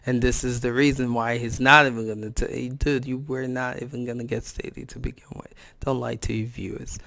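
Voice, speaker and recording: A man hosting a gaming reaction video, hoarse from a cold, talking in a blunt, slangy, casual way. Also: medium pitch, little pitch movement, brisk, bright, forceful tone, dry recording. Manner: blunt, slangy, scolding the other creator. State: hoarse from a cold and sore throat. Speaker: reaction channel host.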